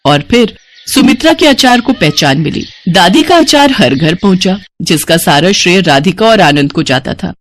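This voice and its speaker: A woman's voice: lowish voice, animated, moderate pace. A woman storyteller delivering scripted narration for a moral bedtime story.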